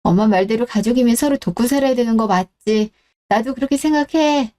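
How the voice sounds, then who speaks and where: A woman voicing a blunt, sharp-tongued daughter in her fifties in a radio drama who speaks in an exasperated way. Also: on the high side, a little fast, close to an everyday voice. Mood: bitter. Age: 50s.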